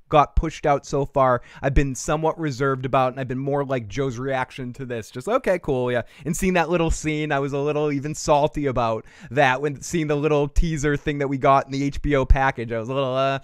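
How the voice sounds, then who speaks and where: A man with a Boston accent hosting a fan talk show, casual and profane, rambling on excitably and emphatically. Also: fairly high pitch, clean tone, no room sound, studio quality. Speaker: host of a TV fan call-in show.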